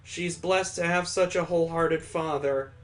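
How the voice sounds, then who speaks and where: Good-natured male family friend for a visual novel, a man reading, sincere, admiring, a slightly high voice. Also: steady tone, unhurried, faint music behind, close to an everyday voice.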